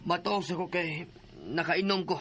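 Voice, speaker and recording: Bright-voiced, drunken, callous partner acted out. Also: mid-range voice, fairly even intonation, moderate pace, a little hiss or hum, natural voice. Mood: dismissive, irritated. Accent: Cebuano Filipino. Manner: dismissive, brusque. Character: Raymond, drunken, callous, irritable male partner. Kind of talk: Filipino radio drama acting.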